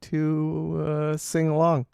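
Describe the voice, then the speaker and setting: A man with a creaky, gravel-edged voice trades casual banter as a radio co-host. His delivery is wry and understated. He sounds close, in a dry space with no echo. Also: a little high, completely flat delivery, slow pace, darkish tone. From Canada. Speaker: co-host of a local music and chat radio show.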